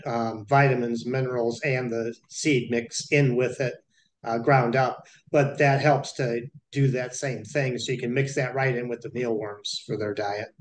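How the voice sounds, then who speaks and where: A man's voice: mid-range voice, a little hiss or hum. A middle-aged man from a garden center, easygoing with dry humor, speaking on a video call. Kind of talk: casual panel chat.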